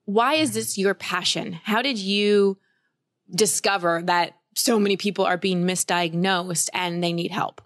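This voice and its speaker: A woman's voice: medium pitch, measured pace, fairly bright tone, no echo, studio quality. A woman in her forties hosting a podcast, reading an ad and interviewing a guest in an upbeat, earnest way. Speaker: podcast host. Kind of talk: read ad and interview.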